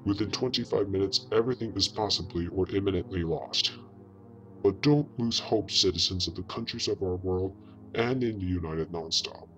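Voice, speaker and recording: A man's voice: deep voice, close to an everyday voice, poor audio. A man voicing a fleet commodore who gives a grave emergency address to a nation under nuclear attack. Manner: grave, solemn, measured. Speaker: wartime leader giving an emergency address. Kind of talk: emergency broadcast speech. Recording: speech over loud music.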